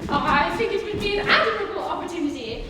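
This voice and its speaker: Young woman as high-voiced coy society lady, echoey hall. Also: expressive, quick pace. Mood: coy, arch. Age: young adult. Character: poised, self-assured, coy young society lady in love. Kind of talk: stage dramatic reading of Victorian comedy. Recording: distant echoey hall, loud background noise.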